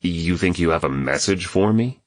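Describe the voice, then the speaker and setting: A man's voice: low voice, normal speed, dry recording, close to an everyday voice. A man voicing a practical grown man who talks in a plain, direct way, firm but kind, recorded dry and close. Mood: patient.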